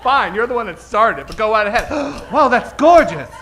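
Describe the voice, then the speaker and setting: An actor playing an effeminate, coy gangster with an arch, teasing manner in an old-time radio comedy. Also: male voice, high voice, expressive, moderate pace, bright, forceful tone. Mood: arch, flirtatious.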